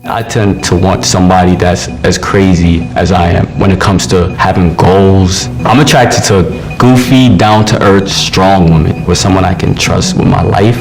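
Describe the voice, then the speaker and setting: A man's voice: sits low, steady tone, measured pace, vocal fry, few pauses, rough recording. Jamaican-born guy in his 30s on a dating show, goofy and chatty, music in the back. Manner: playful, jokey, puts on voices when telling stories. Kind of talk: interview and casual date chat.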